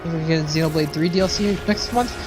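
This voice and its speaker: Rambling man streaming, slightly high voice. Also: quick pace, music in the background. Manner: rambling, sweary. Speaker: video game livestreamer. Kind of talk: casual chat with viewers while playing.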